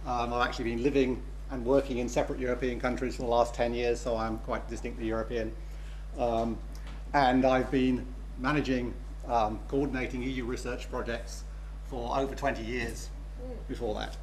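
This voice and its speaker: A middle-aged man with a British accent presents at a seminar. He talks in a halting, self-deprecating way, dotting his sentences with "um." A faint hum runs in the background. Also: medium pitch, flat delivery, low-quality recording. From Britain. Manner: self-deprecating, many "um" fillers. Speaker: conference speaker, research coordinator.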